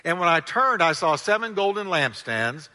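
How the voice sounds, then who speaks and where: Loud, bright-voiced preacher in a reverberant hall. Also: male voice, very animated. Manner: emphatic preaching with dramatic pitch swings and slow stressed words; calls on the congregation to repeat phrases. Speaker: pastor. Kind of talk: sermon.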